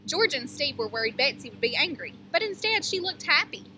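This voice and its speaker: A woman teacher reading a picture book aloud to young children, pausing to explain things and ask them questions. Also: high-pitched, sing-song, background noise.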